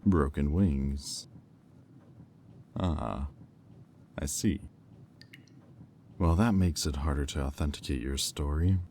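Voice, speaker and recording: A man voices a haughty demon with an extremely low voice and a slow, deliberate delivery. He is sardonic and condescending, in the manner of an audio roleplay villain, over faint background noise. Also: mellow, heavily acted. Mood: skeptical, menacing, then cool, probing. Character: haughty, sardonic male demon bidder at auction.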